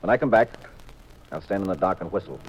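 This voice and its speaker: A cool, wry private sleuth in a fifties radio mystery. His voice is low and unruffled, heard on a muffled vintage broadcast recording. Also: animated, fast, breathy edge. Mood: determined, brisk. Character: cool, determined, wry male amateur detective husband. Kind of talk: 1950s radio drama acting. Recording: dull 1950s radio recording with music.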